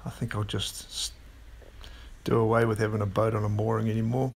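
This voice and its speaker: A man, narrating his sailing trip in a relaxed, plain-spoken voice-over. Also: lowish voice, fairly quick, creaky, a little hiss or hum. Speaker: sailing vlogger.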